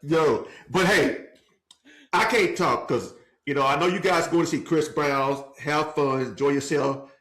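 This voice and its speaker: A man co-hosting a podcast, teasing his cousin in casual, animated chat. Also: a little high, a little slow. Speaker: podcast co-host.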